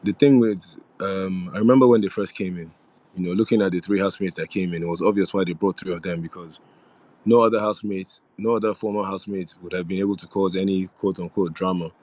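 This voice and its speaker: Deep-voiced young man chatting casually. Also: fast, dry recording. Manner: relaxed, rambling, with frequent fillers and restarts. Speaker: reality show former housemate hosting a live audio room. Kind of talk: casual live audio chat with fans.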